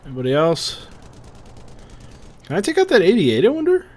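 A man's voice: high-pitched, wildly swooping pitch, normal speed, a little mellow. A man giving casual gameplay commentary, rambling and muttering to himself with offhand swearing. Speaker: gamer, let's-play commentator. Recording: gunfire and game noise behind voice. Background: war video game sounds, gunfire.

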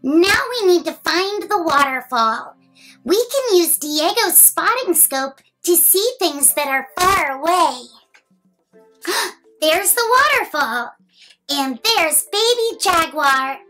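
A woman's voice: extremely high pitch, expressive, slow, sharp tone, soft background music, low-quality recording. A woman in her thirties reading a picture book aloud to young children, playful and exaggerated, asking the listeners questions.

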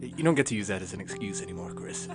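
A man playing a disappointed nag who scolds in a halting, repetitive, conversational way in a skit. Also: low voice, lively intonation, over loud music. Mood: reproachful. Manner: stammering, reproachful, repetitive.

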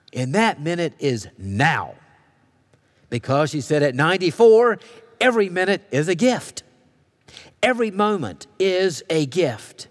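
An American man delivers a sermon in a fairly high voice. His words ring out with the long reverb of a big church space. He tells stories in a conversational way, slipping into the lines of the people he describes. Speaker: pastor preaching. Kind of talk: sermon with storytelling. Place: church sanctuary. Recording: long reverberation of a large hall.

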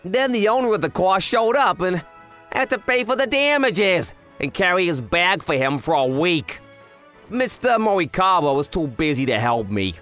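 A man voicing an excitable, chatty young gangster underling who scares easily, in an animated manga dub. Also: high-pitched, normal speed, soft background music. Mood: excited, wailing. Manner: excitable, exclamatory.

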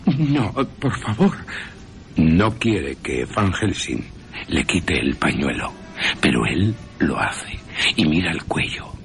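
A man narrating a dramatic scripted radio story in a theatrical, deliberate manner, with wry asides. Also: very deep voice, expressive, vocal fry, fairly bright tone, soft background music.

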